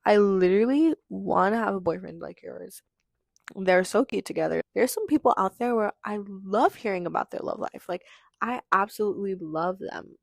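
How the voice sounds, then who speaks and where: A teenage girl hosting her own podcast, chatting in a rambling, confessional way with lots of fillers, her voice hoarse from losing it. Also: medium pitch, normal speed, dry recording, studio quality. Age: teenager in high school. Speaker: podcast host. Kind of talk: casual confessional monologue.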